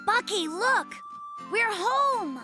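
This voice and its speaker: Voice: very high voice, animated, drawn-out words, exaggerated voice. An actor playing a sensible, friendly boyish brown bear cub in a children's cartoon, with a matter-of-fact way of talking. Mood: contented. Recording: children's music and jingles behind.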